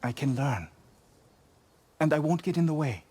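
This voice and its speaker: A man playing an earnest, nervous young blacksmith in a video game, hesitant, gentle and deferential. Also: a little high, lively intonation, normal speed, breathy edge. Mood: earnest, determined. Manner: hesitant, soft-spoken, deferential. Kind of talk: dramatic video game acting.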